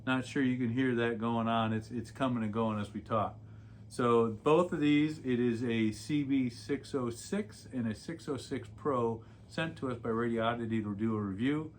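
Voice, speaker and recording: A man's voice: mid-range voice, moderate pace. A man giving a casual hands-on product review as a video host, explaining features plainly and step by step as he handles the gear. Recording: clearly noisy home-made recording.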